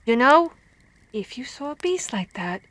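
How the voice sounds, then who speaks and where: A woman playing a female vampire hunter who scolds and cares for her comrade, in an expressive, stammering ASMR roleplay. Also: high-pitched, unhurried, no room sound, exaggerated voice. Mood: scolding, worried.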